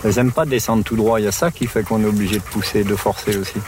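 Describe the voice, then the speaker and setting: An older shepherd chats plainly as he works the flock on a mountain pasture. His voice sits low, relaxed and dry-humoured. Steady outdoor noise runs clearly under everything he says. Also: very fast. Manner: relaxed, plain-spoken, teasing. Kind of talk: casual chat outdoors while herding.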